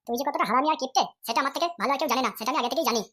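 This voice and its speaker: A woman's voice: high-pitched, racing, a bit bright. A woman voicing an older aunt in a comedy cartoon who complains, scolds her nephew and wails about being wronged. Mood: scornful. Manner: wailing, reproachful. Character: old aunt, whiny and easily wronged. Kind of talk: Bengali comedy cartoon dialogue.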